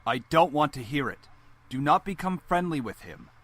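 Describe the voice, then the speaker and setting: A man's voice: fairly high pitch, sing-song, normal speed, fairly bright tone. A man voicing a stern, curt father and company boss in a visual novel. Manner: curt, commanding.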